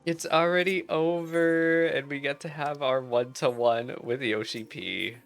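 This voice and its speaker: A man who streams an online fantasy game, chatting casually and excitably with gushing, teasing commentary. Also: fairly high pitch, measured pace, vocal fry, soft background music. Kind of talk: casual game commentary mixed with reading dialogue aloud.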